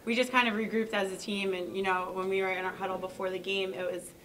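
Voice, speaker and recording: A young woman basketball player answering questions after a game, relaxed and hedging with "you know" and "I mean". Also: medium pitch, fast talker, far from the mic, low-quality recording. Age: young adult. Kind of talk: post-game press answers. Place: press conference room. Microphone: table mic.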